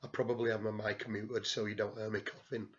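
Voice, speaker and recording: A hoarse man, short and apologetic as he co-hosts a live stream. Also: fairly low pitch, flat delivery, brisk, lo-fi sound. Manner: brief, apologetic, quiet. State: hoarse, lost voice. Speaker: stream co-host running the screens.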